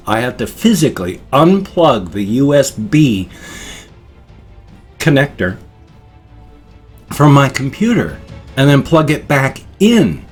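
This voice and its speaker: A man's voice: medium pitch, breathy edge. A man in his fifties vlogging from home at his desk mic, rambling and laughing at himself. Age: 50s. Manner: rambling, self-deprecating, chuckling. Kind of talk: casual chat. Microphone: desk microphone.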